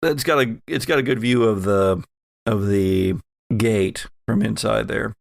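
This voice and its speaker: Slow, gravelly-voiced man running a game. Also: on the low side, no echo, clean studio sound. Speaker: game master. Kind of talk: narrating a tabletop roleplaying game.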